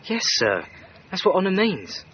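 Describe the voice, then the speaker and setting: An actor playing a loyal, steadfast manservant, deferential and earnest, in a radio drama. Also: male voice, high voice, wildly swooping pitch, a little slow, fairly bright tone, heavily acted. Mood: earnest, reassuring. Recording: dull sound with clear background noise.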